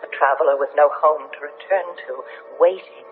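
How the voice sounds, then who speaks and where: An actor playing a disembodied alien survivor in a 1970s radio drama, speaking in slow, solemn, insistent phrases. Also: male voice, fairly high pitch, faint music behind, lightly acted. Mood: mournful, solemn. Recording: tinny, noisy old radio broadcast.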